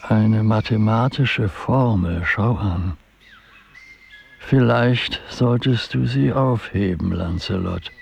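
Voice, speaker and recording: A man playing a wry old wizard in a radio drama, making dry, mocking asides in a gravelly voice. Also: on the low side, slow, a little mellow, exaggerated voice.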